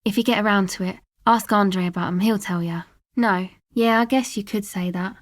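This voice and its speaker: A woman's voice: medium pitch, normal speed, dry recording, clean studio sound, lightly acted. An actress voicing a laid-back, guarded young woman from a dance music crowd, speaking casual, slangy video game dialogue with an offhand manner. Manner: offhand, slangy, hesitant asides.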